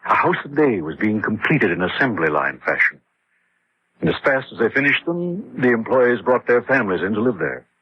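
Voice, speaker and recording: A weary engineer in old radio horror, really raspy husky voice, lively pitch, muffled lo-fi sound. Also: male voice, moderate pace. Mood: grim recounting. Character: engineer husband George, weary narrator of a horror tale. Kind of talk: radio drama narration and acting. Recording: dull lo-fi radio drama recording.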